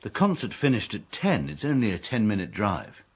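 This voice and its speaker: An actor with an English accent playing a stiff, precise barrister who narrates a radio comedy. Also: male voice, lowish voice, moderate pace, slightly rough, dry recording, exaggerated voice. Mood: dry. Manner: dry, precise, deadpan. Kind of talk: radio drama acting.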